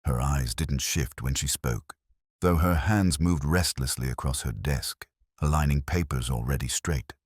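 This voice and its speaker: A man playing a melancholy, literary police detective, recounting a case in a measured, solemn, reflective way as scripted audio mystery narration. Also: very deep voice, breathy edge, no echo, clean studio sound, full character voice. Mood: brooding, reflective.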